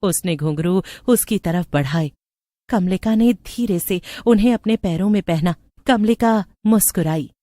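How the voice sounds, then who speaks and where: A woman's voice: mid-range voice, measured pace, mellow, dry recording, studio quality. A woman narrator reading a scripted story.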